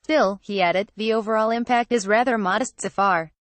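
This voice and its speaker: A woman's voice: mid-range voice, moderate pace, dry recording. Woman reading financial news, dry close sound. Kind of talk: scripted news narration.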